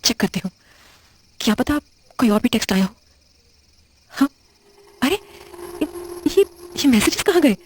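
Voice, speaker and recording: Woman acting a high-pitched, uneasy young widow. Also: sing-song, slightly rough, heavily acted. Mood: baffled. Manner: hesitant, halting, muttering questions to herself. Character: uneasy, confused young widow in a horror story. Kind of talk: audio horror drama acting.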